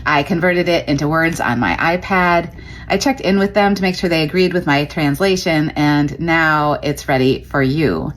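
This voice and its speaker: A woman earnestly reading out a channeled message in a calm, measured way. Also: sits low. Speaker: self-styled channeler.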